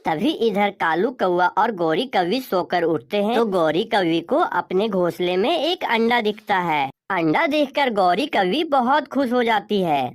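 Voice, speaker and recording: A woman's voice: medium pitch, animated, unhurried, few pauses, no echo, studio quality. A woman narrating a scripted children's story as its storyteller.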